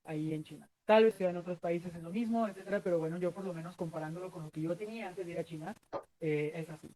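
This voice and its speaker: A man's voice: high voice, fast talker, mellow, poor audio. A Mexican man in his thirties answering interview questions earnestly, rambling with many fillers and restarts. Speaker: guest.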